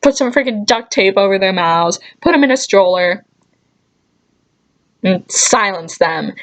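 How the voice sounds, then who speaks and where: A woman's voice: medium pitch, lively intonation, unhurried, low-quality recording. A woman vlogger telling stories casually, with a joking, mock-scolding manner and dry asides. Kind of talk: casual comedic storytelling to camera.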